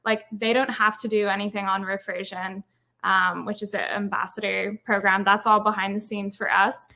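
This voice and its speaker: Bright-voiced Irish woman, chatty. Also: medium pitch, level tone, moderate pace. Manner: chatty, many "like" and "um" fillers. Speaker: guest speaker, brand marketer. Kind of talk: casual webinar interview.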